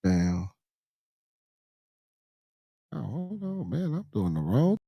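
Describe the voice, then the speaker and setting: A hoarse man hosting a podcast in loose, slangy casual chat, muttering to himself as he fumbles to share a clip. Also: mid-range voice, big pitch swings, slow pace, dry recording, muffled recording. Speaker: podcast host. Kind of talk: casual live-stream chat.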